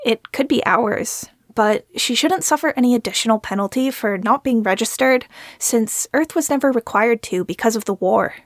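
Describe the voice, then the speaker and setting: A woman's voice: fairly high pitch, normal speed, dry recording, clean studio sound, natural voice. A woman playing an earnest, rule-minded alien student in a sci-fi audio drama, speaking precisely, formally and literally. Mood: earnest, matter-of-fact.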